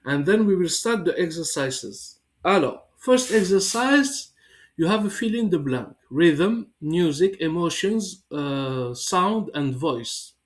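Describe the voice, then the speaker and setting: A man with a cold, slowly reading a text for learners and then explaining exercises in English with many hesitations. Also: a little high, expressive. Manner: slow, careful reading with hesitant fillers. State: has a cold. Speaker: French teacher.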